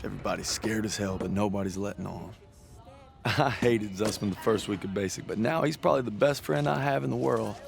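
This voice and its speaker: Folksy young soldier, gravelly and low. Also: male voice, a little fast. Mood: wry, fond. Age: young adult. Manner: plain-spoken, folksy. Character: earnest young American army private narrating a letter. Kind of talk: reflective voice-over narration.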